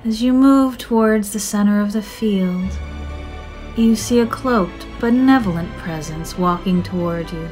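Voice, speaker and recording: A woman narrating a scripted guided meditation in a soothing, measured, gentle manner with drawn-out phrases. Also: mid-range voice, rough recording. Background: soft music.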